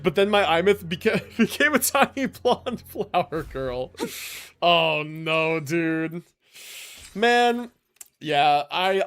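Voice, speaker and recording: Male livestream host, animated pitch, casual gamer slang and swearing, faint music underneath. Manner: laid-back slangy enthusiasm, swears casually. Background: video game music and character voices.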